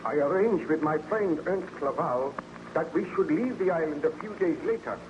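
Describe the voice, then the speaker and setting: A man plays a tormented baron haunted by his own creation in a vintage radio play. His voice is fairly high, earnest and melodramatic. The old recording is clearly noisy. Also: expressive, moderate pace, muffled recording, natural voice. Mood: weary, troubled. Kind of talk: old-time radio drama acting.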